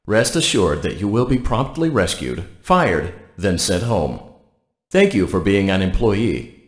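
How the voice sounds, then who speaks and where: Deadpan automated guide, echoing, low. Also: male voice. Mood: flat, ominous. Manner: measured, cheerfully officious, deadpan. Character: polite, deadpan, callous male automated facility guide. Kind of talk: scripted game announcements and instructions.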